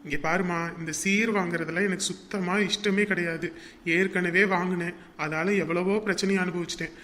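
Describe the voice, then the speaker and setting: A man voicing a groom who speaks gently to his bride and shouts in anger at others. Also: high voice, fairly even intonation, moderate pace. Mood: gentle. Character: hot-tempered man, groom and angry brother.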